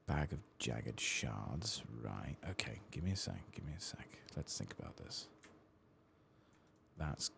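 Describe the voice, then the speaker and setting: A soft-spoken man doing an ASMR game playthrough, hushed and sometimes whispering, musing in a reflective, hesitant way as he plays, close to the microphone. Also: very deep voice, sing-song, unhurried, gravelly, a little hiss or hum. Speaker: ASMR gaming video host.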